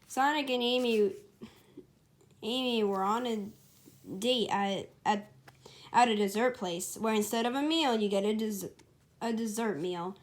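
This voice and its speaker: A woman's voice: on the high side, measured pace, long pauses. A woman narrating her amateur story as writer and storyteller, informal and self-correcting, with casual asides.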